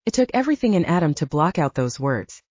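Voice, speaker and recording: Female audiobook narrator, dark warm tone, very dry close sound, dramatic and expressive delivery. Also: sits low, quick pace, no echo, lo-fi sound. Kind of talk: scripted fiction narration.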